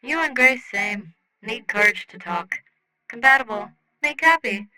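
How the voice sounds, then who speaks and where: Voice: mid-range voice, expressive, a little slow, crowd noise, voice pushed up, natural voice, rough recording. A podfic reader voicing a small, blunt, cheerful alien rock creature who talks in clipped broken English through a translator. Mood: frank, encouraging. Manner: speaks clipped broken English, announces questions by saying "question". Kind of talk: scripted fiction dialogue, translator voice.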